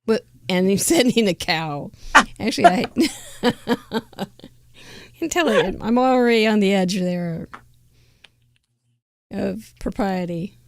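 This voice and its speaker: A playful woman hosts a live crafting show, chatting easily and laughing often. Her voice sits at an ordinary middle pitch, and the recording is dry and close with no room echo. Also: animated, takes it slowly, pauses often, a little mellow. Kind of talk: casual live-stream chat.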